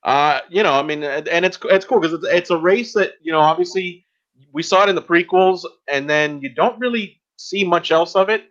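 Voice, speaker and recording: A man hosting a casual podcast interview, rambling and halting as he works toward his questions. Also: sits high, a bit bright, highs cut off. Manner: halting, rambling, filler-heavy.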